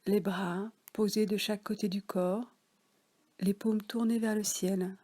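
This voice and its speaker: A French woman guiding a yoga nidra relaxation session, speaking softly with some words whispered. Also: medium pitch, fairly even intonation. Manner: slow, soft, partly whispered guidance. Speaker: relaxation guide.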